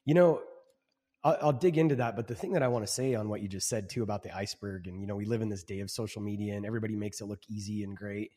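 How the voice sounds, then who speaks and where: A man's voice: lowish voice, fairly even intonation, fast talker, warm tone. Man, 40s, podcast guest, earnest, reflective, stumbling restarts, reverberant. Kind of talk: casual interview chat.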